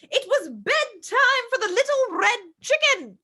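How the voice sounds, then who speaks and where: A woman's voice: very high-pitched, sing-song, measured pace, pushed and bright. A woman hosting a children's story time, reading a storybook in a theatrical, exclamatory way and addressing young listeners directly.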